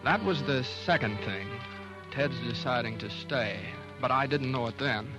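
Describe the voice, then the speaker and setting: A man's voice: mid-range voice, normal speed, over loud music, natural voice, rough recording. Man acting a hesitant, troubled American doctor, old radio drama. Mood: brooding narration. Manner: hesitant, stammering. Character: troubled, guilt-ridden male doctor, lovelorn and weak.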